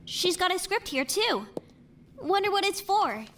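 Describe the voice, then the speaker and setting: A high-voiced young woman investigator played by a voice actress in an animated mystery, casual and tidy-minded. Her pitch moves around a lot, and there is some noise behind her. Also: measured pace, heavily acted. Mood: casual, curious. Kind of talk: voice acting in an animated murder mystery.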